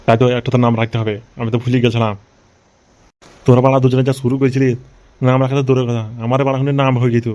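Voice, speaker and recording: A man's voice: mid-range voice, level tone, very fast, darkish tone, dull audio, close to an everyday voice. A man playing a coarse, blustering, hot-tempered gangster boss and harried father in an amateur comic cartoon dub.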